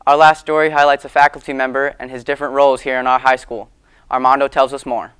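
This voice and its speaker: A teenage student anchor introducing news stories from a script. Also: male voice, medium pitch, little pitch movement, fast talker, fairly bright tone, smooth.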